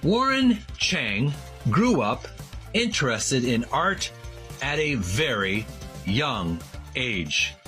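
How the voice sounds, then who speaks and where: A man presenting and reading aloud as a video host, in a deliberate, emphatic way with broken phrasing. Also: medium pitch, sing-song, bright, forceful tone, faint music behind. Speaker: host of a video series on retro game art. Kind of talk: casual presenting and slow, halting read-aloud from a book.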